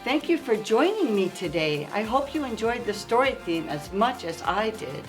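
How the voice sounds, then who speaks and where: A woman reading aloud for young children in an expressive, deliberate storytime manner, engaging her listeners directly. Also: a little high, music in the background. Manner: expressive, asks listeners simple questions. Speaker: children's story-time reader.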